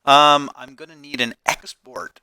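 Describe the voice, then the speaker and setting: A man hosting a game video, a bit hoarse from a cold, chatting and thinking aloud as he builds. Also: mid-range voice, fairly even intonation, unhurried, bright, forceful tone, a little hiss or hum, low-quality recording. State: hoarse, getting over a cold. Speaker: gaming channel host. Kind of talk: casual Let's Play narration.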